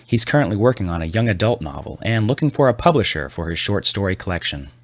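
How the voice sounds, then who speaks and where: A man's voice: fairly low pitch, big pitch swings, quick pace, no echo, clean studio sound. A man hosting a scripted comedy podcast with a wry, mock-serious delivery. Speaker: podcast host.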